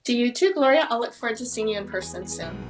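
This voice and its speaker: A woman's voice: fairly high pitch, expressive, quick pace, music in the background, poor audio. A woman chatting casually as a food expert guest in an interview.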